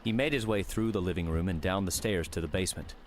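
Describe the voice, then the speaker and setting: A man narrating an audiobook in a steady, measured reading. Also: low-pitched, slight background noise.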